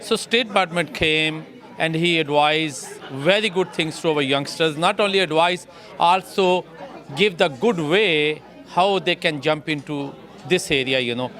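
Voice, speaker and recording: A man giving a halting statement to the camera outdoors, with non-native phrasing. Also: a little high, fairly bright tone, a faint crowd.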